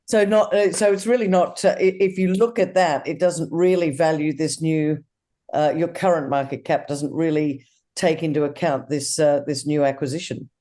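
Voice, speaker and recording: A man hosts a business interview, his voice mellow and starting to give out. He speaks in an upbeat, promotional way, wrapping up with enthusiasm. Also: fairly high pitch, fairly even intonation, moderate pace. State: losing voice. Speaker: interview host.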